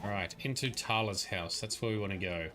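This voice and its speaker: A man's voice: lowish voice, fairly quick, noisy background. A young man casually narrating a game on a livestream, chatting with viewers and answering their comments. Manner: chatty, reads and answers chat comments, frequent "um"s.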